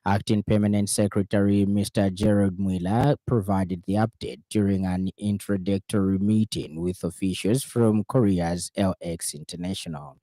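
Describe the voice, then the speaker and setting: A man with a Zambian accent reading a formal radio news bulletin in a measured, scripted delivery. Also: low-pitched, steady tone, mellow, no echo.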